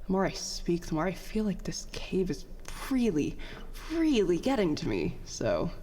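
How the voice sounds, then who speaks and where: A woman playing an anxious, self-deprecating young petty officer, halting and sarcastic, in a science fiction audio drama. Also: on the low side, huge pitch swings, dark tone, full character voice. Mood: wry, weary. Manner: halting, muttering, self-conscious. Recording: long cave-like reverb.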